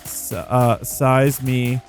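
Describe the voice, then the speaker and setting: A man's voice: medium pitch, level tone, very slow. A man hosting a gaming video with casual, rambling commentary, playful and full of informal slang. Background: background music.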